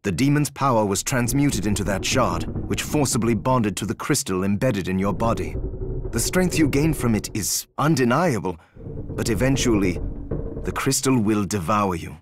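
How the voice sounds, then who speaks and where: A gentle scholarly companion acted by a man in a game cutscene. His voice is on the low side, measured and sincere, with a regretful, protective air. Clear ambient noise runs behind him. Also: animated, lightly acted. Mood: concerned. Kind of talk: dramatic video game voice acting.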